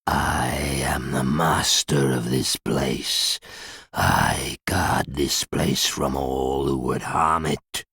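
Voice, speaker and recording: An actor voicing a menacing ancient guardian creature in an audiobook drama, speaking in slow, commanding rasps. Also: male voice, rumbling low, a bit bright, dry recording, clean studio sound, full character voice. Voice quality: gravelly, breathy rasp.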